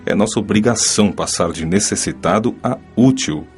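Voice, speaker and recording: A man playing a grave, kindly spirit mentor, giving a measured moral lesson with a Brazilian accent in an audiobook drama. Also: lowish voice, soft background music, lightly acted. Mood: solemn, instructive.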